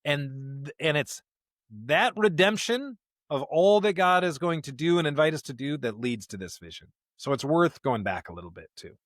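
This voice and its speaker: A man's voice: a little high, animated, moderate pace, fairly bright tone, no room sound, studio quality. A man co-hosting a conversational podcast discussion of scripture, speaking earnestly and repeating phrases for emphasis. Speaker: podcast co-host.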